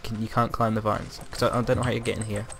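Casual male gamer, low gravelly register, co-op chat over game music and key clatter. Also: little pitch movement, fast talker, a bit bright. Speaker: gamer in a co-op let's play. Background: game music, keyboard typing.

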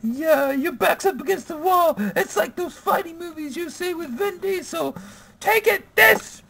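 A man voicing an excitable, boastful little teddy bear who hosts a homemade puppet show. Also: very high voice, unhurried, gravelly, pitched above the performer's own voice, heavily acted. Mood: boastful, playful. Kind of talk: improvised puppet comedy skit. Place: small room at home. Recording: noisy home camera audio.

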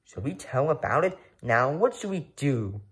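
A woman reading a children's rhyming book aloud in an expressive, sing-song delivery. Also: rumbling low, normal speed. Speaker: storybook reader.

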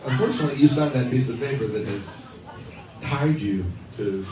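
A man's voice: medium pitch, moderate pace, crowd noise. A man bantering and teasing his bandmate between songs at a live music club, laughing often as he talks. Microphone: stage mic heard from the audience. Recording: echoey club, from far off, room noise.

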